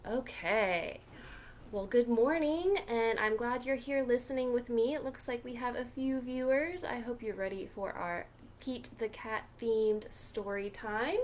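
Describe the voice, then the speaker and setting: A woman hosting a children's storytime, reading a picture book aloud in a deliberate, expressive way. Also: on the high side, slight background noise. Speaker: children's librarian storytime host.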